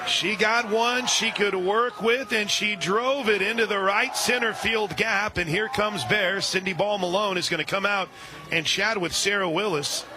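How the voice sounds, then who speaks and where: A man calling a softball game live on radio, steady between pitches and surging loud and high on big plays. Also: a little slow. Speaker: radio play-by-play announcer.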